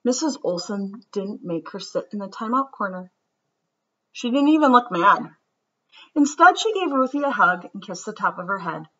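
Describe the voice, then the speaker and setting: Woman storybook reader, expressive and gentle, bright voice, dull lo-fi recording. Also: medium pitch, moderate pace, breathy edge. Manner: expressive storytelling, gentle and reflective when talking afterward. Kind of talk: children's read-aloud.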